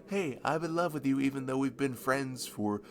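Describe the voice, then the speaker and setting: A man acting a nervous, smitten young man in an intimate ASMR roleplay, speaking in a halting, stammering, bashful way. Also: medium pitch, a little hiss or hum, close to an everyday voice. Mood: anxious, apologetic. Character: nervous, smitten young man confessing to his childhood best friend.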